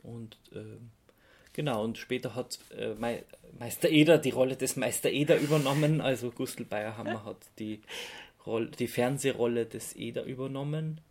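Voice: mid-range voice, normal speed, darkish tone. A podcast co-host with an Austrian accent, chatting casually and explaining things in a conversational way. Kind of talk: casual chat about radio plays.

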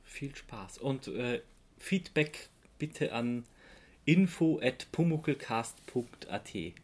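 A man co-hosting a casual podcast, speaking in a halting, hesitant way. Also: mid-range voice, lively intonation, darkish tone. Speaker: podcast co-host.

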